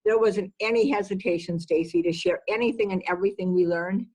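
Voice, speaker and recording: A woman's voice: medium pitch, fairly quick, a little mellow, slightly rough, poor audio. A woman executive giving conversational interview answers, emphatic and repeating words for stress. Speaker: business executive being interviewed.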